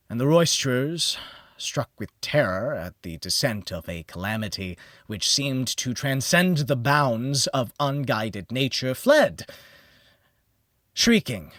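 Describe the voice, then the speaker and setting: A man narrating a gothic horror audiobook in a theatrical manner that moves between hushed near-whispers and heightened exclamation. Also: fairly low pitch, no room sound, studio quality. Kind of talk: dramatic scripted horror narration.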